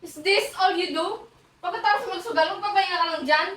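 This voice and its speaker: Woman playing a high-pitched, scolding Filipino mother. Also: quick pace, a bit bright. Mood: angry, accusing. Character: scolding, demanding mother and embittered wife. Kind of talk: amateur school stage acting in Taglish. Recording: echoey room, distant mic, noisy.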